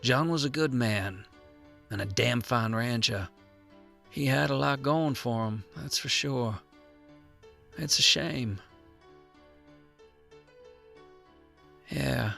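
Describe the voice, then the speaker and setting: A man acts as a stern frontier sheriff in a western audio play. His voice is very hard-edged and gravelly, folksy and weighty, with faint music behind it. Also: mid-range voice, sharp tone. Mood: somber, probing. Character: grim, dogged Old West sheriff hunting outlaws. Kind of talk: Old West audio roleplay acting.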